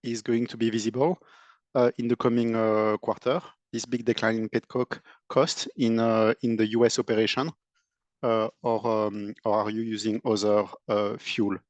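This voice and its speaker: A man asking questions as an analyst on a business conference call, in a halting, searching way. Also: on the low side, flat delivery, dry recording, dull audio.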